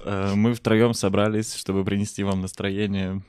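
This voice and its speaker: A man hosting a casual podcast chat, phrasing his thoughts in a measured, hesitant way. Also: lowish voice, flat delivery, a little mellow, smooth, no room sound, studio quality. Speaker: podcast host.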